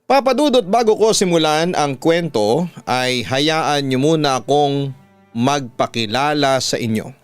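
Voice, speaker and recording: A man with a Filipino accent reads a listener's horror story letter as a radio storyteller, in a measured way with deliberate pauses. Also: on the high side, expressive, clear, resonant tone, no room sound. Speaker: radio announcer and host reading listener letters. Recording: background music under the voice. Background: instrumental music.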